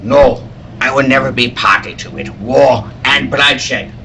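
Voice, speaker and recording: A man's voice: medium pitch, measured pace, vocal fry, bright, forceful tone, a little hiss or hum. A man playing an earnest, anxious scientist-inventor in 1940s radio serial style, theatrical and emphatic, at a live table read. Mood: solemn, intense.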